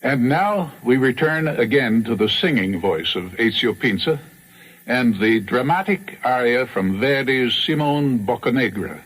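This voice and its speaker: A man announcing a vintage radio concert programme in a measured, formal manner. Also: medium pitch, expressive, fairly bright tone, low-quality recording.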